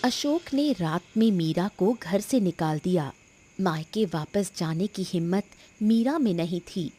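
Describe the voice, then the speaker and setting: A woman's voice: lowish voice, expressive, a little slow, dark tone, a little hiss or hum. A woman narrating a scripted dramatic story.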